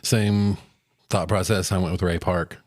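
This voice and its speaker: A man's voice: deep voice, steady tone, measured pace, dry recording, clean studio sound. A man co-hosting a film review show, chatting casually with his fellow host. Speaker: podcast co-host reviewing films.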